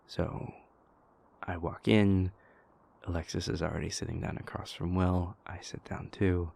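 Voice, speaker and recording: A man playing a stressed young vampire prince confiding in his lover in an intimate, halting audio roleplay monologue, with a husky, gravelly voice. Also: deep voice, flat delivery, darkish tone, heavily acted. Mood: hesitant, worried. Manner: halting, hesitant, exasperated, conversational.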